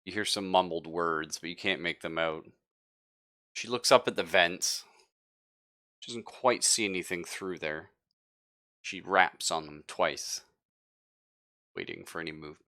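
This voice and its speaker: A man's voice: low voice, a little slow, long pauses, pushed and bright. A man acting as game master, narrating a live tabletop roleplaying scene in suspenseful second person.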